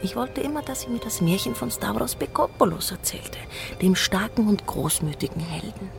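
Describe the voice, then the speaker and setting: A woman's voice: mid-range voice, animated, normal speed, gravelly, music in the background. An actress playing a romantic young woman in love in a German radio play, tender and intimate. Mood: tender, dreamy.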